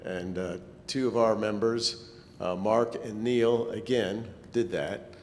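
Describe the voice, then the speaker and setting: A man's voice: fairly even intonation, slow. A man giving an informal, slightly hesitant club presentation in a meeting hall. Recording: echoey hall, rumbling background noise.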